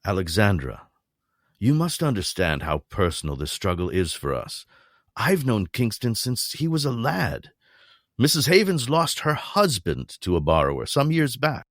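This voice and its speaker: A man playing a calm, diplomatic leader of a secret society, trying to keep order in an audio drama. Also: lowish voice, moderate pace, no echo, clean studio sound, exaggerated voice. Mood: measured. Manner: soothing, diplomatic, mediating. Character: older male society leader, calm and measured.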